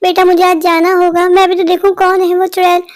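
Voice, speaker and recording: A woman's voice: very high voice, completely flat delivery, brisk, a little mellow. A woman voicing an anxious, plaintive poor father who sells eggs in a cartoon story. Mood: worried, resolute. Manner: plaintive, imploring. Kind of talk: Hindi cartoon story acting.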